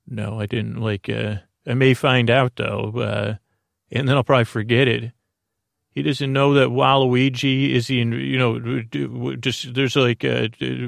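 Creaky-voiced man rambling softly. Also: mid-range voice, no echo, studio quality. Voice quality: creaky, soothing, lulling. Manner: meandering, full of tangents and filler sounds. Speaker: podcast host. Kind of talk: rambling bedtime podcast intro.

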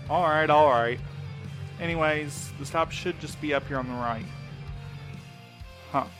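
A man's voice: a little high, unhurried, music in the background, close to an everyday voice. An actor playing a wary, reluctant male courier in an audio drama, with a casual, sarcastic manner. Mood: easygoing, puzzled. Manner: sarcastic, colloquial. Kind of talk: full-cast audio drama acting.

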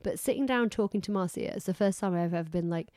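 A woman's voice: mid-range voice, fast talker, dark tone, no echo, studio quality. A woman co-hosting a podcast in casual, effusive, rambling chat while she is losing her voice. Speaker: podcast co-host.

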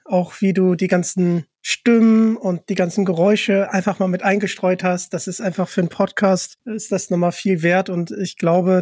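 A man with a high voice hosts a podcast in a casual, appreciative way. He addresses guest and listeners informally, and the sound is dry with little room in it. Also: darkish tone, dry recording. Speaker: podcast host, interviewer.

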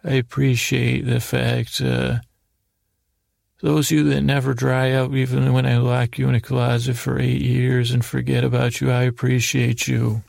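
Male podcast host for sleepy, mock-serious monologues: heavy vocal fry, even intonation, halting and meandering delivery. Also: medium pitch, no room sound. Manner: meandering, halting, repeating half-words. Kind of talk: rambling bedtime monologue to help listeners sleep.

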